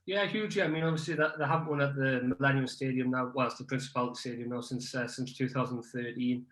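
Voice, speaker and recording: A man's voice: mid-range voice, fairly even intonation, quick pace, a bit bright. Hesitant young man in an echoey room. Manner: hesitant, many ums and restarts. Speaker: guest rugby blogger. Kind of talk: casual podcast chat.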